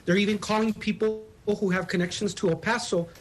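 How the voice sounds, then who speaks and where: A man's voice: high-pitched, steady tone, a little fast, a little hiss or hum. A man speaking as a public information officer, explaining things as a guest in a news interview. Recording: phone interview.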